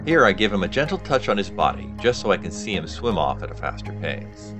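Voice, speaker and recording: A man reading scripted nature documentary narration as a diver, in an explanatory manner with measured sentences. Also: sits low, big pitch swings, music in the background.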